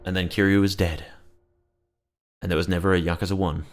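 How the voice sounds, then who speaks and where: Low-voiced man doing irreverent gameplay commentary. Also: little pitch movement, a little fast, faint music behind. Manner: irreverent, profane, rambling. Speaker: gaming video creator.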